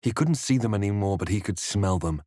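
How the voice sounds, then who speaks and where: A man playing a brooding, wry adventurer hero in a fantasy game, speaking in a measured, grave, literary way. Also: on the low side, expressive, warm tone, no room sound, studio quality. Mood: solemn. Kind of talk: scripted game voice lines and narration.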